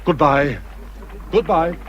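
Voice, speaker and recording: An actor playing a jovial, good-natured gentleman husband in a period literary drama, hearty and chatty. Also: on the high side, slow pace, dull audio, slight background noise. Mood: hearty, brisk. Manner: hearty, chatty, well-meaning.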